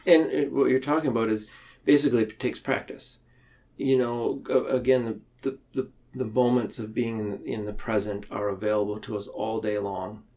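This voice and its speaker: A man talking reflectively and explaining ideas in a podcast discussion, haltingly, often circling back to rephrase. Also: fairly low pitch, steady tone, a little mellow.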